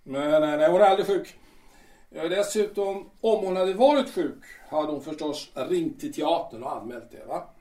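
A man's voice: a little high, expressive, mellow, recorded from a distance, lightly acted. A man playing a blustering, irritable stage actor. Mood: dismissive. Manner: blustering, impatient, curses when annoyed. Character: vain irritable male stage actor Peter. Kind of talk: amateur audio drama acting. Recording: roomy, from off-mic.

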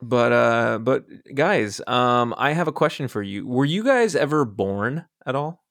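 Man, podcast host, jokey, very lively pitch, dry close sound. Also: unhurried, dry recording. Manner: animated, jokey, laughs often. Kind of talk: casual podcast chat.

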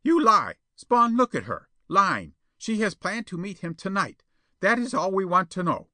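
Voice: mid-range voice, big pitch swings, a little slow, pushed and bright, dry recording, studio quality, pitched above the performer's own voice. A man voicing a crafty, accusing male villain in a storybook, sly, needling and insistent. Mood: accusing, excited.

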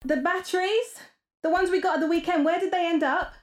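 Echoey, high-voiced nagging wife played by a woman. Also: steady tone, fairly quick. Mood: questioning. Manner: bossy, blunt, impatient. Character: nagging, exasperated wife and fellow mother. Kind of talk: naturalistic audio drama dialogue. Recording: roomy, echoing household sound.

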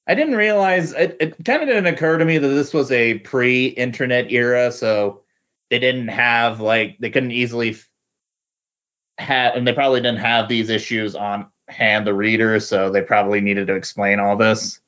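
A man speaking as a podcast guest in a casual comic book discussion, halting and often repeating words. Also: mid-range voice, fairly bright tone. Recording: dull lo-fi voice recording.

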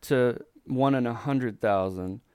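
A man's voice: mid-range voice, slow pace, a little mellow, clean tone, dry recording, studio quality. A man from Texas with a Texan accent, co-hosting a podcast in casual, joking conversation. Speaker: podcast co-host.

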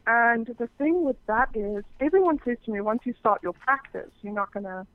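A woman's voice: on the high side, expressive, moderate pace, dry recording. Chatty woman, muffled line. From South Africa. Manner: chatty, frank, many restarts. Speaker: guest, phone sex operator. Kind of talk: casual interview chat. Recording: dull muffled phone-like sound.

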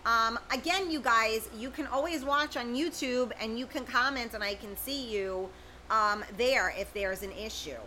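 A woman's voice: fairly high pitch, a little slow, bright, forceful tone, a little hiss or hum, rough recording. A middle-aged woman, hosting a casual livestream, reading viewer comments and chatting with them by name. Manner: chatty, informal, talks straight to viewers by name. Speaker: livestream host.

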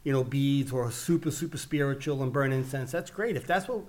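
A man's voice: medium pitch, a little fast, a little mellow. Brooklyn guy podcast co-host, earnest, keeps repeating himself mid-thought. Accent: Brooklyn New York. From Brooklyn, New York. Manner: earnest, repeats words while finding his point. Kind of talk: casual chat.

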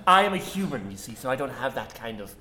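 A man playing a chatty, tactless human priest in a tabletop game, stammering and excitable. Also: mid-range voice, quick pace, pitched below the performer's own voice. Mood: flustered. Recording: echoey room.

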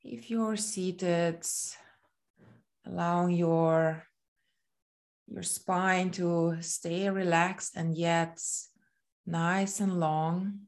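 A woman with a fairly low voice guides a body scan meditation. She speaks very slowly and gently, leaving long pauses between short phrases. The delivery is soothing and unhurried throughout. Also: fairly even intonation.

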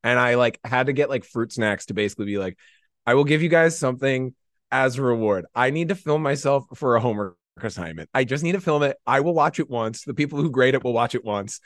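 A man talks casually as a podcast guest, his pitch swinging freely. He rambles in a self-deprecating, animated way and leans on "like" a lot. The sound is dry and close. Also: brisk, no echo. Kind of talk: casual chat, anecdotes.

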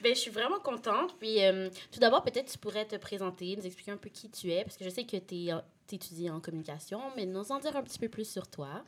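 A woman's voice: medium pitch, expressive, fast, hardly any pauses. A young woman hosting a casual podcast, playful and laughing, with English words mixed in. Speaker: podcast host.

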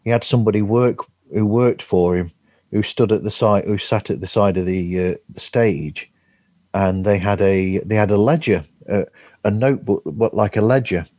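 A man chatting casually as a podcast host, halting and rambling, often chuckling. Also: lowish voice, dark tone. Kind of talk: casual remote chat. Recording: dull, roomy remote call audio.

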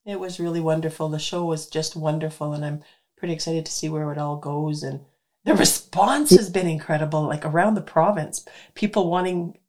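A woman's voice: deep voice, brisk, a little mellow. A woman hosting a radio show, chatting enthusiastically with her guest and laughing easily. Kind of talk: casual interview chat.

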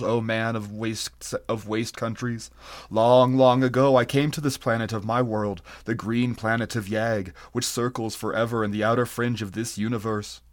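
A man's voice: medium pitch, lightly acted. A man voicing an ancient blind, tortured alien creature from a fantasy story, sorrowful and solemn, in grave archaic speech.

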